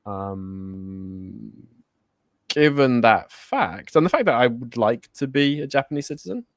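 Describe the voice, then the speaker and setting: A man's voice: mid-range voice, lively intonation, a little slow, no room sound. Easygoing man co-host, dry close sound. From born in Germany, raised in Spain. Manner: laughs often, self-correcting. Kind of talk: casual chat.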